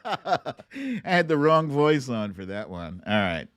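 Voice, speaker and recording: A man's voice: medium pitch, sing-song, takes it slowly, dry recording, faint music behind. A man hosting a casual livestream, chatting and reacting to clips in a playful, theatrical way. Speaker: host of a live online show.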